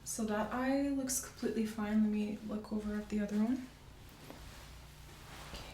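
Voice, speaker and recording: A soft-spoken woman in an ASMR medical roleplay, gently explaining exam steps as the examiner. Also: medium pitch, fairly even intonation, normal speed. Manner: gentle, step-by-step clinical explaining. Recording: roomy echo, distant mic, faint noise.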